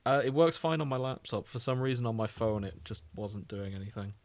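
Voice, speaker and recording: A man's voice: mid-range voice, fairly quick, no echo. A man co-hosting a podcast in casual comedic chat, full of opinionated, mock-indignant banter. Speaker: podcast co-host.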